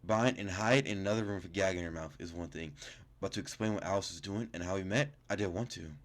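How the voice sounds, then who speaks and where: A man's voice: low-pitched, fast talker. A man reading game text aloud as a gaming YouTuber, stumbling and correcting himself, with casual reactions. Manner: stumbling, self-correcting reader.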